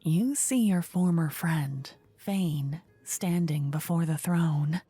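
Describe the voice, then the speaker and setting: A woman narrating a scripted fantasy ASMR story in a soft, whispery voice. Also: sits low, takes it slowly, husky, faint music behind.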